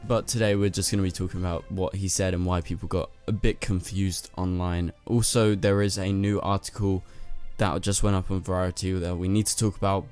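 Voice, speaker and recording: Hoarse low-voiced man chatting. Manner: chatty, rambling, talks straight to viewers. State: lost his voice. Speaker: channel host. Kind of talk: casual commentary to camera. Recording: soft music underneath.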